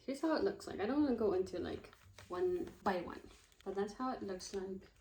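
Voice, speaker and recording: A woman's voice: mid-range voice, fairly quick, a little mellow, slight background noise. A woman in her twenties, a student vlogger, rambling casually and thinking aloud, often losing her train of thought. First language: not Russian. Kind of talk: casual chat.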